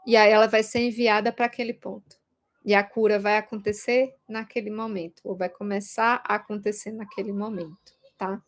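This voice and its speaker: A woman's voice: mid-range voice, flat delivery, moderate pace. A woman with a Brazilian Portuguese accent teaching an informal video lesson in a conversational way, often checking in with short tag questions. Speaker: Reiki course teacher.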